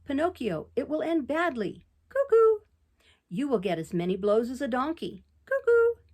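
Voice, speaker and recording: A woman's voice: fairly high pitch, big pitch swings, fairly quick, darkish tone, husky. A woman voicing a threatening schoolboy gang leader in a storybook reading, speaking in a taunting, raised voice.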